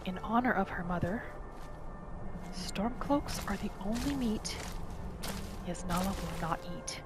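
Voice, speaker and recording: A woman narrating a video game in a calm, slow, soft-spoken ASMR manner, often slipping into whispers. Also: medium pitch, heavy background noise. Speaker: gameplay roleplay narrator. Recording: loud game ambience under the voice.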